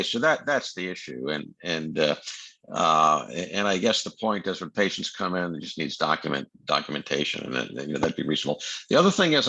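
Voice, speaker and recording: A man in his late seventies discussing a medical case informally, halting and repeating words, over muffled call audio. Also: sits low, pushed and bright, no room sound. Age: late 70s. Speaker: senior surgeon giving case comments.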